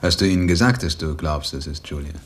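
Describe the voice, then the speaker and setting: A man's voice: very deep voice, lively intonation, fast, husky, exaggerated voice. A man acting a brooding, laconic widower in a radio drama, telling his story in an understated, terse, wry way. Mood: quiet, probing. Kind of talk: radio drama acting and first-person narration.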